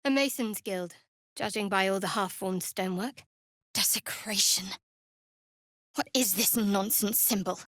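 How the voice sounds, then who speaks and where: An actress playing a guarded, devout young woman cleric in a video game, with a wry, measured delivery. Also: medium pitch, a bit bright, no room sound, studio quality, natural voice. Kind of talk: video game character voice acting.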